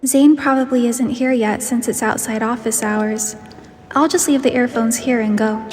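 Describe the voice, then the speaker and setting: High-voiced shy, curious young heroine, acted by a woman. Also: steady tone, moderate pace, a little mellow, a little hiss or hum, lightly acted. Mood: shy, musing. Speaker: voice of the player heroine, fan narration. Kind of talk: acted visual novel dialogue and inner monologue.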